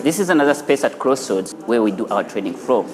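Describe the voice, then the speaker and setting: A man's voice: medium pitch, expressive, a little fast, long reverb tail. A man with a Ugandan accent, speaking as the founder of an animation studio in a filmed profile interview. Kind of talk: interview and studio tour for a news feature. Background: music.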